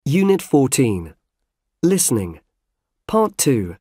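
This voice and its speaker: A man reading out unit numbers and task instructions in a clear, neutral announcing style. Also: mid-range voice, big pitch swings, slow pace, mellow, no echo, clean studio sound. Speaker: exam audio announcer. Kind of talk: scripted instructions.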